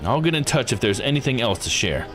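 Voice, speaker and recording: A man voicing a gruff, world-weary police detective in a visual novel, with a casual, grumbling manner. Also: mid-range voice, sing-song, fast, faint music behind, lightly acted. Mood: businesslike.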